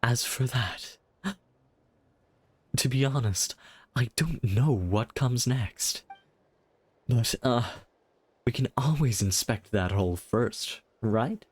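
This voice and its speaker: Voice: mid-range voice, dry recording. A streamer reading a hesitant, nervous guardian. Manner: hesitant, apologetic. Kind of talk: game dialogue read aloud.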